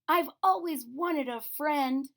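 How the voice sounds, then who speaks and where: Storybook toy bear, small and childlike played by a woman: gentle, wondering, raised pitch, very unhurried. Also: gravelly, heavily acted. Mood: happy, tender.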